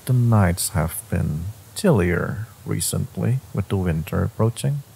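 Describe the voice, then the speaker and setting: A man voicing a smug, possessive, flirtatious fantasy villain in an intimate romance audio roleplay, teasing with hesitant pauses. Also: creaky, warm tone, slight background noise, heavily acted. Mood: gentle, teasing. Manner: teasing, hesitant pauses, frequent low chuckles.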